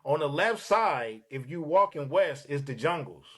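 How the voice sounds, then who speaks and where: A man from Los Angeles, a podcast guest, telling a casual, streetwise story in an animated, profane way. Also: fairly high pitch, normal speed.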